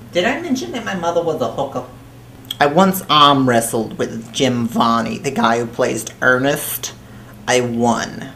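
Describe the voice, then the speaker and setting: A woman playing a crude, brash elderly woman hosting a talk show, rambling in a deadpan, foul-mouthed way with an odd accent. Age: 80s. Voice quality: low-pitched, with an odd accent. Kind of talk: comedic monologue. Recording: roomy with faint hiss.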